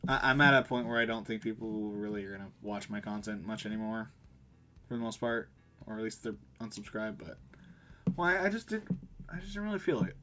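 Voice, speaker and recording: A man's voice: lowish voice, expressive, brisk, sharp tone, faint music behind. A man streaming a game and chatting casually in an informal, self-deprecating way. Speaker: livestreamer. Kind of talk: casual gaming livestream, reading dialogue aloud.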